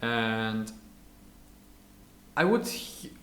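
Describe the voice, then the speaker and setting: A man's voice: lowish voice, big pitch swings, extremely slow, pushed and bright, slight background noise. German man chatting in an echoey room. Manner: explains carefully, restarts phrases. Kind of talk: casual chat.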